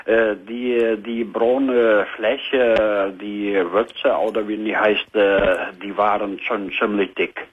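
A man in his fifties with a Dutch accent, calling a radio show and searching haltingly for German words. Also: fairly low pitch, flat delivery, husky. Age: 50s. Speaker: caller. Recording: tinny phone line.

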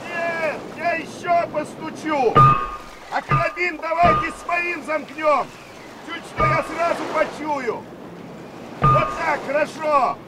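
An actor playing a dogged, loyal young submarine petty officer who calls out haltingly in a radio drama. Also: male voice, very high-pitched, fairly even intonation. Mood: strained, dogged. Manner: shouting haltingly over wind and waves, straining. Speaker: sailor. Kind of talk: Soviet radio drama acting. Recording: very noisy, wind and surf effects. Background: storm wind, waves.

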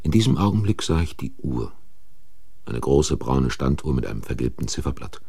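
An actor playing a brooding, intense man who confesses a crime in deliberate, husky radio-play narration. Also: very deep voice, big pitch swings, dark tone, no echo, exaggerated voice. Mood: grave, brooding. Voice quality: gravelly, husky.

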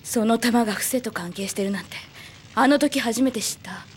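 A woman's voice: a little high, moderate pace, slight background noise, close to an everyday voice. A woman voicing a proud, guarded, tough young female fighter with curt, mannish speech in an anime drama. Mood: defensive. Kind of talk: Japanese anime voice acting.

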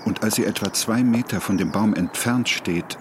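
An actor playing a sober witness who gives a dry, factual statement in a documentary drama. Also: male voice, on the low side, normal speed, lightly acted. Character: sober male witness giving an official police-style statement. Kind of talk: dramatized reading of a report. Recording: clear background noise.

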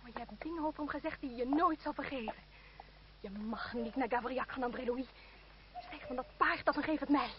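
A woman's voice: high-pitched, brisk, crowd noise, close to an everyday voice. An actress playing a brave, loyal young noblewoman in a radio drama, speaking urgently with formal, imperious diction. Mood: urgent, insistent. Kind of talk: old Dutch radio drama acting, formal theatrical diction. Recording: dull, noisy old radio play recording.

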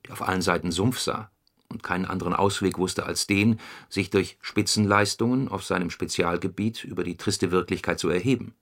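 Radio play monologue: an analytical political operative, lowish pitch, measured memoir delivery, sober throughout. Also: male voice, fairly bright tone. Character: sober communist functionary recollecting a comrade in secret work.

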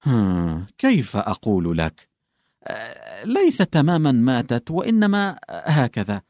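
A man's voice: medium pitch, huge pitch swings, a little slow, a little mellow, no echo, clean studio sound. A man voicing a tactless, bumbling old male official who fumbles, backtracks and stammers. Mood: flustered.

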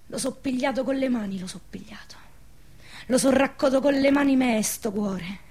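A young, devout peasant woman who is dying and afraid. Her voice is fairly high and breathy, slipping into whispers. She speaks a rustic central-southern Italian dialect in intense radio drama style. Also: normal speed, darkish tone. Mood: haunted, anguished. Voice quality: breathy, often dropping to a whisper.

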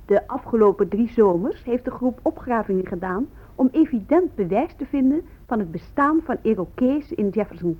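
A woman playing a detached reporter of academic findings in a radio play, reading a scripted report. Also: on the high side. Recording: muffled, narrow radio play recording.

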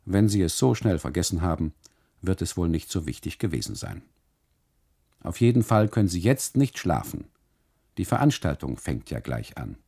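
A man's voice: low-pitched, big pitch swings, normal speed, gravelly, mellow, breathy edge, full character voice. A man voicing a suspicious, scolding Italian custodian in a radio play, pointed and insistent in his reproaches.

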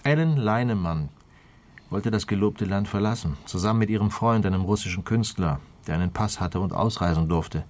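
Low-voiced, probing police detective played by a man. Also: natural voice. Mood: grimly insistent. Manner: pointed, deliberate, insistent questioning. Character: shrewd, probing male police detective pressing a suspect. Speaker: actor in a radio crime drama. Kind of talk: German radio play dialogue.

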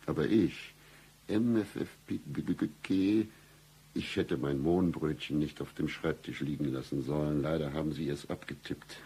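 Deep-voiced deadpan boss. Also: male voice, normal speed, slightly rough. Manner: deadpan, florid. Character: dry, lovesick male boss hiring staff, pompous romantic. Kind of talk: satirical radio play acting.

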